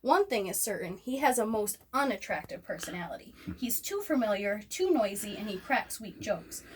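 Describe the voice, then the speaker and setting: A female spider from a children's storybook, voiced by a woman reading aloud. She is courteous and dry, with measured phrasing. The sound is distant, with noise around it. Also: mid-range voice, lively intonation, natural voice. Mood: wry, frank. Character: polite, wry, weary female spider friend. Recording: distant microphone, noisy room ambience.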